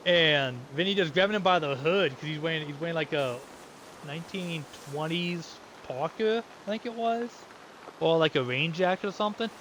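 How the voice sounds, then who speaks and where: A man's voice: a little high, normal speed. A man in his thirties from the United States, rambling through casual gameplay commentary with many restarts. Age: 30s. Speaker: gamer. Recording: steady background noise.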